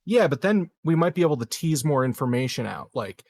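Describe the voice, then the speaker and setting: A man's voice: mid-range voice, sing-song, fairly quick, darkish tone. A man playing a tabletop roleplaying game, talking casually and tentatively, repeating words as he thinks aloud.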